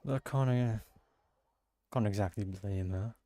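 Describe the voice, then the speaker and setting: A man casually commenting on the game he is playing. His voice sits fairly low and is soft-edged and mellow, with a close, dry sound. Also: measured pace, dry recording. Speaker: game streamer commenting on gameplay.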